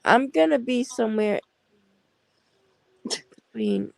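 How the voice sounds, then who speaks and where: Woman, laid-back stream guest, very gravelly and unhurried. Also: a little high, wildly swooping pitch, no room sound. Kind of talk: casual live chat.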